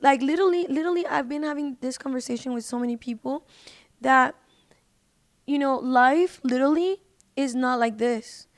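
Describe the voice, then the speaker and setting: Female podcast guest, high voice, slangy and emphatic conversational delivery, very dry close sound. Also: moderate pace, dry recording. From United States. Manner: informal, slangy, emphatic with "like" and "literally". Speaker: podcast guest, independent singer. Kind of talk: casual chat.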